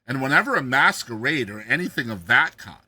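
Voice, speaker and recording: A man's voice: mid-range voice, lively intonation, a little fast, sharp tone, slightly rough. A man giving a dramatized, theatrical audiobook reading of a classic gothic story, stressing and stretching key words. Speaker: narrator, show host.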